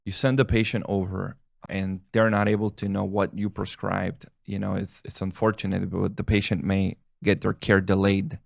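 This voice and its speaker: Gravelly low-voiced physician, native Spanish speaker. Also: male voice, no room sound, studio quality. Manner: loose and rambling, with frequent restarts and chuckles. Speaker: family physician. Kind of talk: casual talk to camera, answering questions.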